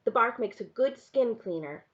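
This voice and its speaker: A woman's voice: on the high side, animated, moderate pace, fairly bright tone, lo-fi sound. A woman voicing a caring, practical young girl in a storybook, explaining patiently and earnestly. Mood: instructing.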